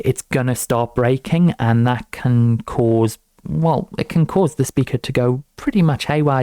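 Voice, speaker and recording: Young man, student developer type, relaxed and fry-heavy, talking viewers casually through a project, unscripted. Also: mid-range voice, no echo. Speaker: student game developer presenting own project. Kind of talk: casual unscripted tutorial walkthrough.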